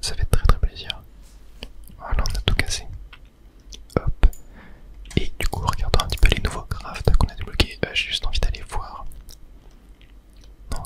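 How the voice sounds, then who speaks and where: Whispering ASMR gamer, fully unvoiced. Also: a bit bright. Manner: casual, thinking aloud while playing. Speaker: video game streamer and ASMR host. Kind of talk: whispered ASMR gaming commentary.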